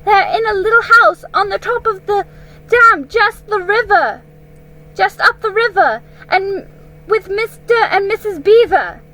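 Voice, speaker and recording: A woman voicing a frightened young boy who pleads and stammers in a high voice. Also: animated, measured pace, pushed and bright, exaggerated voice, poor audio. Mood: pleading.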